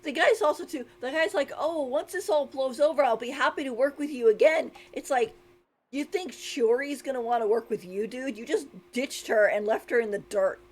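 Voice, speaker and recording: A woman's voice: high-pitched, normal speed. A woman giving casual, opinionated live commentary as she streams a game. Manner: informal, opinionated.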